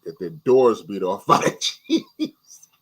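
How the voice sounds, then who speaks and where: A man from New York, a guest on a podcast in casual chat, animated and often repeating words as he talks. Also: lowish voice, takes it slowly, breathy edge.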